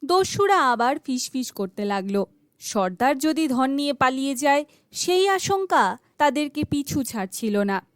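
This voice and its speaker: A woman's voice: sits high, very animated, measured pace, dry recording, clean studio sound. A woman narrating a scripted children's folk tale.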